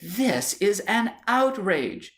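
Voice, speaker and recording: A man's voice: sits high, sing-song, extremely slow, a bit bright, pitched above the performer's own voice, exaggerated voice. A man voicing an indignant, jealous and melodramatic young Victorian wife in a storybook, haughty and exclamatory. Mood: outraged.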